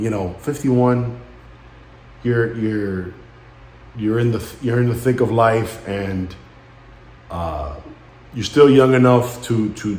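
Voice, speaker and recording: Man in his late thirties, lowish voice, halting reflective delivery, somber, echoey recording. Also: a little hiss or hum. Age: late 30s. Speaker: vlogger. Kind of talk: reflective personal vlog monologue.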